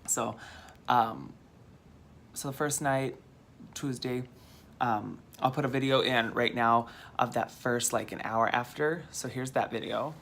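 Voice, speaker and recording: A man from Minnesota with a fairly high-pitched, slightly hoarse voice, telling a rambling, self-deprecating story on his vlog. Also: measured pace, a bit bright, a little hiss or hum. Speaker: vlogger.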